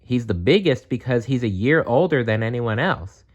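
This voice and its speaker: 남자가 연기하는 다정하고 살짝 높은 목소리의 작은 곰. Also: male voice, medium pitch, lively intonation, normal speed, lo-fi sound, voice pushed up, lightly acted. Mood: kind, reassuring. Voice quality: gentle. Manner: reassuring, softly advising. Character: kindly, gentle, grinning small magical teddy bear. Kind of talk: storybook read-aloud.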